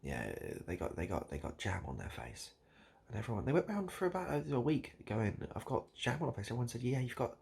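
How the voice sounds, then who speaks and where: A British man doing improvised, absurd comedy straight to the listener in a hesitant, repetitive, deadpan ramble. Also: medium pitch, brisk, gravelly, slightly rough. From United Kingdom. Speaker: comedic video host. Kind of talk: improvised absurd comedy roleplay addressed to the viewer.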